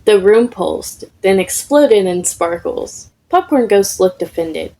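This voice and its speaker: A woman's voice: mid-range voice, lively intonation, takes it slowly, dark tone. A woman reading a scripted story aloud as a narrator. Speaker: fan fiction podfic reader.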